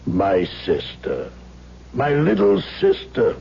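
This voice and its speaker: An actor playing an emotional, self-reproaching older brother in an old-time radio drama, plaintive and melodramatic. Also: male voice, medium pitch, wildly swooping pitch, slow, gravelly, mellow, slightly rough, heavily acted. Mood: effusive. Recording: muffled, hissy old radio drama recording.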